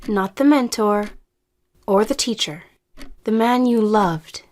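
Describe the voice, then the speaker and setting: A woman acting a patient, probing therapist who speaks in a measured, formal way in an audio drama. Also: medium pitch, darkish tone, lightly acted. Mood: calm, coaxing.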